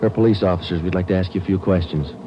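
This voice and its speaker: An actor playing a stern, terse police detective sergeant who also narrates, in a clipped, matter-of-fact old radio crime drama style. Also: male voice, low voice, rapid-fire, noisy background, close to an everyday voice. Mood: businesslike. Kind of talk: 1940s-50s radio crime drama acting. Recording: dull vintage radio recording, loud music behind.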